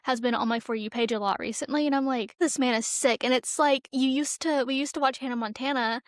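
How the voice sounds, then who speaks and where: A young woman with a fairly high voice co-hosts a casual pop culture podcast. She talks in animated, slangy opinions peppered with "like." The sound is very dry, with no room echo. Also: brisk. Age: young adult. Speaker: podcast co-host.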